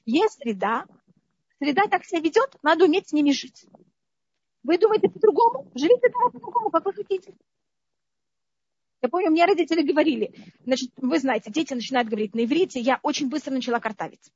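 A woman who grew up in the Soviet Union, a guest telling stories, lively and often asking her listeners whether they understand. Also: high-pitched, breathy edge, no room sound. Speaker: interview guest. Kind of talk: conversational storytelling. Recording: dull lo-fi dry sound.

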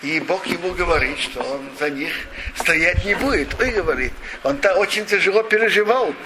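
A man with a notably high voice teaches a scriptural lesson, retelling the story animatedly and posing rhetorical questions. He often stops and restarts his sentences. Clear background noise runs beneath the recording. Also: normal speed. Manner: frequent restarts and self-corrections. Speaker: religious teacher. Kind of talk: Torah lesson retelling a midrash.

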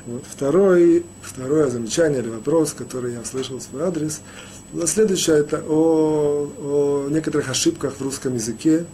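A man teaching a Torah lesson as a rabbi, talking haltingly with many restarts and fillers. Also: fairly high pitch, mellow, slight background noise. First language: Russian, rarely used in daily life. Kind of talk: spoken Torah lecture.

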